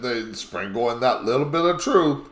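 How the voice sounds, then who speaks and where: Raspy-voiced man hosting a talk show. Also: medium pitch, lively intonation, normal speed, fairly bright tone, echoey room. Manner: rambling, emphatic, conspiratorial. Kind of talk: live call-in talk show commentary.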